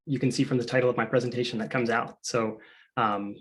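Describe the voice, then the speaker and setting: A man's voice: mid-range voice, fairly even intonation, brisk, poor audio. A man who is a PhD student, starting a conference presentation over a video call in a friendly, chatty way with many fillers.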